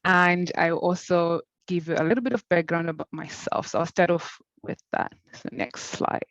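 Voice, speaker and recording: Woman, keynote presenter, measured delivery, dry lo-fi audio. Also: fairly low pitch, fairly even intonation, no echo. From Zimbabwe. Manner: measured, explanatory. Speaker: keynote speaker.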